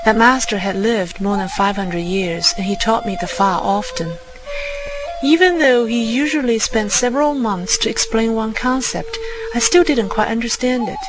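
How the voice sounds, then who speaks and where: A woman's voice: fairly high pitch, moderate pace, low-quality recording. A woman reading a scripted first-person fairy tale as a storybook narrator. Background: music.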